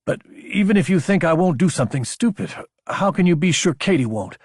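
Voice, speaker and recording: Man playing an earnest, anxious young officer, husky. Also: high voice, expressive, fairly quick, a little mellow, no room sound, clean studio sound, pitched above the performer's own voice. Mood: protesting.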